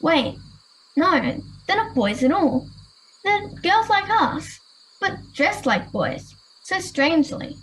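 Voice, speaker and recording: A woman's voice: very high voice, big pitch swings, takes it slowly, long pauses, heavily acted. An Australian girl of about ten playing a polite, watchful Victorian schoolgirl in an amateur radio play. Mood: polite, wondering. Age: 10 to 11, child. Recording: noisy home recording with crickets.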